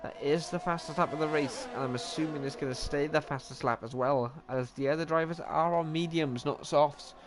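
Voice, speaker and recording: A man's voice: fairly high pitch, brisk, hardly any pauses. Welsh man commentating a sim race. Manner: chatty, self-mocking, corrects himself often. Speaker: sim racing league commentator. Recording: steady background noise.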